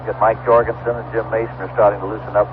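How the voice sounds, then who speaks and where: A man's voice: on the low side, level tone, very fast. A man calling baseball play-by-play live on a noisy, tinny 1970s radio broadcast. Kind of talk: live radio sports commentary. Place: ballpark broadcast booth.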